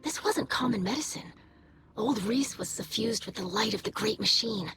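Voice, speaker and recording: A woman's voice: medium pitch, lively intonation, normal speed, background noise, natural voice. A woman voicing a young alien scholar and tonic maker in a video game, speaking carefully and formally with earnest concern. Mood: earnest, hopeful. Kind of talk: video game character dialogue. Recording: game music behind the voice.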